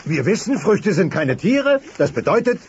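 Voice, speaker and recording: A man's voice: high-pitched, sing-song, moderate pace, slight background noise. A man playing a cocksure, dim middle-aged dinosaur father in a German cartoon comedy dub, lecturing pompously. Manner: pompous, mock-logical lecturing. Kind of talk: German-dubbed TV comedy audio play. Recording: dull old cassette with loud music.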